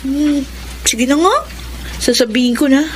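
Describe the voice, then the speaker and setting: Gossipy young filipina bff, radio drama, kinda high, playful, slight noise. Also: female voice, measured pace. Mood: conspiratorial. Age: young adult. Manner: conspiratorial, playful. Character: chatty young best friend, gossipy and playful.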